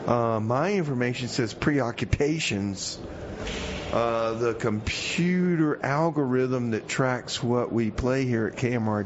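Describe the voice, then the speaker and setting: A man's voice: mid-range voice, lightly acted. A man playing an easygoing, hapless radio DJ broadcasting from jail, hesitant and rambling, in comedy radio theater. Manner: hesitant, rambling, slacker drawn-out talk. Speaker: radio DJ host. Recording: dull lo-fi radio sound, noisy background.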